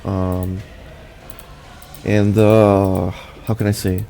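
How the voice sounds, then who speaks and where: A young adult man whose first language is Portuguese, speaking English with a Brazilian accent and giving a casual, halting channel update full of fillers and restarts. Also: low voice, level tone, creaky, mellow. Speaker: channel host.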